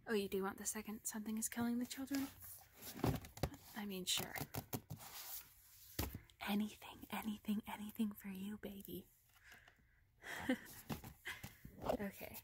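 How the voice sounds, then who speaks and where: A woman speaking softly and often whispering in a relaxed ASMR girlfriend roleplay, recorded indoors among bookshelves on a handheld phone. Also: medium pitch, a little slow, pauses often, background noise. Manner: soft-spoken, drifting into whispers.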